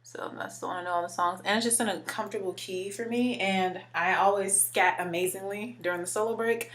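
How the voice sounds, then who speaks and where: A woman in her twenties with a deeper voice, chatting casually while doing her makeup and using lots of filler words. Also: steady tone, a little fast, a bit bright. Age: 20s. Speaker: beauty vlogger. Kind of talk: casual makeup tutorial chat.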